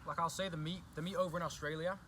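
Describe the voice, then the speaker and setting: Fast-talking young Englishman, bright voice. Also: fairly high pitch, steady tone, a little hiss or hum. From England. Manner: chatty, repeats himself, says "do you know what I mean". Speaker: guest. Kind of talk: casual chat.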